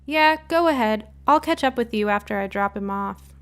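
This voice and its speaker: A woman's voice: on the high side, expressive, normal speed, fairly bright tone, clear, resonant tone. A woman voicing an earnest, frightened young girl in a narrated spooky story. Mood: worried. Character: young girl, frightened trick-or-treater with a pet cat.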